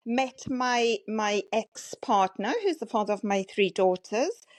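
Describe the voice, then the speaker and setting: Fifties woman, South African accent with a Welsh twang; chatty, animated guest storyteller, dry close mic. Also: mid-range voice, unhurried, no echo. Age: 50s. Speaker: podcast guest. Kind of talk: casual interview chat.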